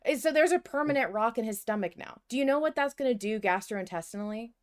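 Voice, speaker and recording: A woman's voice: fairly high pitch, expressive, fast. A woman co-hosting a podcast, talking casually about a fiction show and reasoning things through in a wry, pragmatic way. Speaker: podcast co-host.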